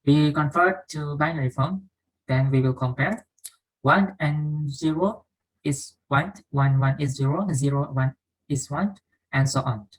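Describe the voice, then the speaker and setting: A woman's voice: low-pitched, measured pace, low-quality recording. A woman with an Indonesian accent, a student explaining her lab answer step by step while sharing her screen. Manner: steady explanatory, working through steps aloud. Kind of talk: screen-share walkthrough of code.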